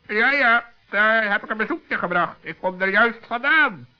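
Very high-pitched shrewd raven. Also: male voice, expressive, moderate pace. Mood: matter-of-fact. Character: shrewd, self-satisfied male raven, dry and matter-of-fact. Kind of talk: children's radio play acting. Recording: dull lo-fi old radio recording.

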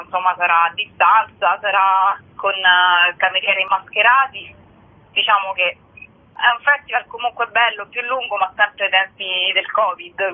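Female festival organiser, informal radio guest, mid-pitched voice over a narrow telephone line. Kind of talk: informal spoken interview. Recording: tinny, narrow phone line.

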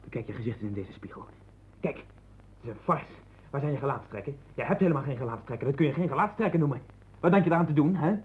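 An actor in a 1960s radio play voicing a troubled man sinking into obsessive visions, erratic and husky. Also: medium pitch, animated, quick pace. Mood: needling, mounting. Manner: erratic, swinging from hushed brooding to loud agitation. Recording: muffled narrowband old radio recording.

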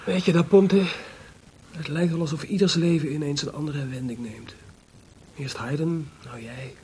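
An actor playing a frank, sensible composer in a radio drama, with a husky voice and a plain-spoken, reproachful manner. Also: male voice, fairly high pitch, measured pace, mellow. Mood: resigned. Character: frank, sensible composer, disappointed but loyal friend.

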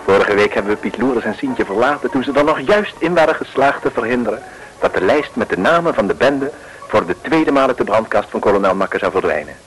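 A man's voice: mid-range voice, expressive, brisk, a bit bright, soft background music, rough recording. A man narrating a 1950s radio play in a wry, mock-dramatic way.

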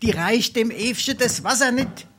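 Grandiloquent boozy rogue with Hessian dialect. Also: male voice, very high voice, lively intonation, moderate pace, a bit bright, slight background noise. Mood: boastful, lecturing. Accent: Darmstadt Hessian. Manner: pompous, florid, wheedling, declamatory. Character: roguish, boozy, grandiloquent male scrounger coaching his friend. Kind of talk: theatrical dialect farce acting.

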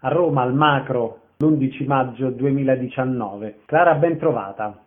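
A man's voice: medium pitch, normal speed. A man working as a radio host, presenting and interviewing about the arts. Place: radio broadcast. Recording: narrow phone-like radio sound.

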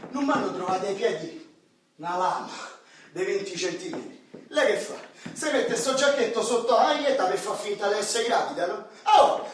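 An actor playing a brash, foul-mouthed Roman football hooligan and petty crook, coarse and boastful in a Roman accent, in a radio drama. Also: male voice, very high-pitched. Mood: animated storytelling. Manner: boastful, coarse, shouting outbursts. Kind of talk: stage-style radio drama acting. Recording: distant, very echoey hall with background noise.

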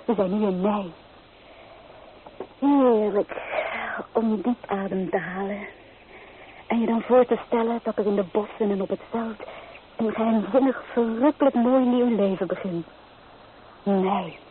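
Lo-fi old radio play with faint noise, woman acting an earnest young bride-to-be, mid-pitched, dreamy, wistful. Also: unhurried, long pauses, close to an everyday voice. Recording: muffled, noisy old radio recording.

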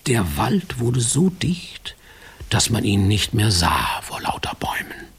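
A man narrating a fairy-tale radio play as an expressive, folksy storyteller. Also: fairly low pitch, takes it slowly, creaky, husky.

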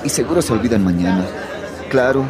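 Man acting an insecure teenage boy, very animated pitch. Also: brisk, a crowd in the background, lightly acted. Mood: hesitant, defensive. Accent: Bolivian. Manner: hesitant, slangy. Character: insecure teenage boy torn between love and bravado. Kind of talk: radio drama acting.

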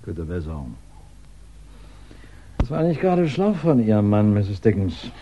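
An actor playing a composed, probing police inspector in a 1960s German radio crime drama, deliberate and dryly polite. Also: male voice, on the low side, big pitch swings, warm tone, a little hiss or hum. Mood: dry, measured. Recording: dull vintage 1960s radio recording.

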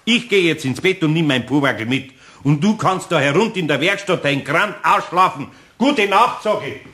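An actor playing a kindly old master carpenter in a radio play, with a patient, gently scolding manner. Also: male voice, fairly high pitch, animated, moderate pace.

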